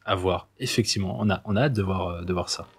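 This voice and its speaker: A young man from Lorraine hosting a student radio sports show in a relaxed, jokey way, with many hesitations. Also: low-pitched, fast. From Lorraine, France. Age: young adult. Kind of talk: casual hosting banter.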